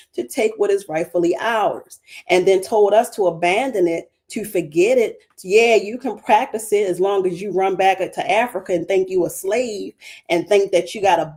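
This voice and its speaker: A woman's voice: medium pitch, a little mellow. A woman with a Baltimore accent speaking on a livestream as a spiritual guest, passionate and emphatic, building her points through repeated phrases. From Baltimore, USA. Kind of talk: livestream talk.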